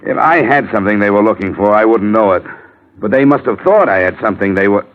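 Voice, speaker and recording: An American man playing a wry, world-weary insurance investigator in a 1950s radio detective drama, with a sardonic, clipped, deadpan delivery. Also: on the low side, quick pace, lightly acted. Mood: groggy. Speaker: lead actor and narrator. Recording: muffled vintage radio recording with music.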